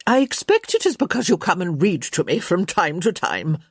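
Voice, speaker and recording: A woman's voice: on the low side, very animated, moderate pace, breathy edge, no echo, clean studio sound, full character voice. A woman voicing a blunt, crotchety elderly countess with a grand, emphatic manner in an audiobook story. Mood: brusque, dismissive. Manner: grand, emphatic, sharp-tongued pronouncements.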